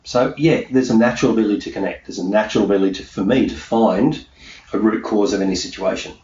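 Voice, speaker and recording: A middle-aged man with a low voice talks in an emphatic, conversational way, repeating points for weight. He sounds set back from the microphone in a very echoey room, like a guest answering interview questions. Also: quick pace, a little mellow. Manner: emphatic, repetitive, punctuates points with "okay". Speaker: interviewee, self-employed mindset coach. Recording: roomy, distant, muffled, faint background noise.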